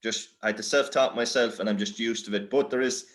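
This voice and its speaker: An Irish man giving an informal tutorial as a workshop presenter, halting and self-deprecating in how he explains things. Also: medium pitch, steady tone, fairly bright tone.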